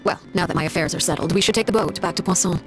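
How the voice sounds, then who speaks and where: A woman's voice: lowish voice, very fast, close to an everyday voice. A woman playing a stern, coolly composed, unyielding video game character who speaks in a formal, authoritative way. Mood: cold, dismissive. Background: game music.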